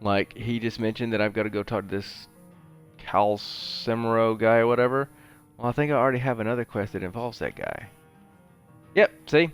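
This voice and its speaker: A man's voice: on the low side, moderate pace, clear, resonant tone, faint music behind. A middle-aged man giving casual, rambling commentary while he plays a video game. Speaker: gaming show host. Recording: faint background noise.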